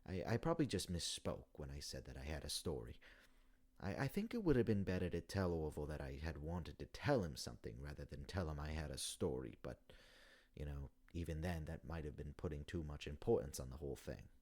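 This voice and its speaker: A man voicing an elderly, melancholy hippopotamus from a video game, stammering and rambling on slowly and wistfully. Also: rumbling low, expressive, darkish tone. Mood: musing, rambling. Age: older. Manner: hesitant, stammering, long-winded rambling. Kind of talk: video game character monologue.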